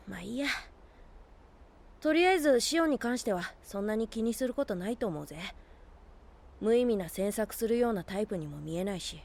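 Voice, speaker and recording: A woman playing a cocky, blunt boy with an old head on young shoulders, speaking in rough casual style in an anime audio drama. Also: mid-range voice, animated, a little fast, darkish tone. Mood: frank. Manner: rough, casual, brash boyish speech. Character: cocky, blunt boy who is secretly much older.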